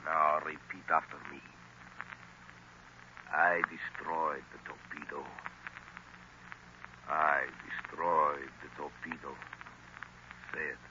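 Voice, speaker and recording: An actor playing a commanding, scheming hypnotist professor with a deliberate, menacing manner in 1930s radio drama style. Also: male voice, extremely low pitch, level tone. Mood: commanding, hypnotic. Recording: muffled, noisy 1930s radio recording.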